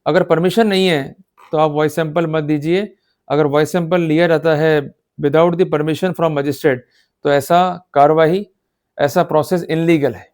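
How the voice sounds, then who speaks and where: Emphatic male lecturer, higher-pitched voice. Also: fairly even intonation, unhurried, a little mellow. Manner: emphatic, exhortative, checks that listeners follow. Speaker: law lecturer. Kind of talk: explanatory lecture mixing Hindi with English legal terms.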